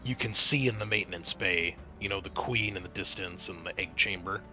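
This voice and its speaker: A man's voice: deep voice, a little fast, bright, forceful tone, faint music behind, poor audio. A man acting as game master, casually explaining rules and narrating a tabletop game.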